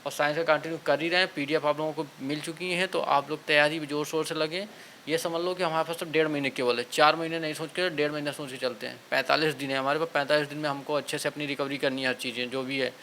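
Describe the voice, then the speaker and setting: A man's voice: on the high side, fairly even intonation, quick pace, bright, forceful tone, a little hiss or hum. A man gives an informal, motivational exam-prep talk, instructing and urging students and often adding small check-in tags. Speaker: exam coaching instructor and channel host.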